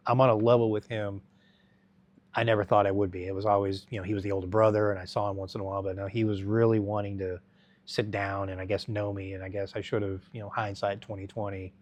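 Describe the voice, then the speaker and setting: A middle-aged man telling his life story as an interview guest, halting and rambling with many restarts. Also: on the low side, flat delivery.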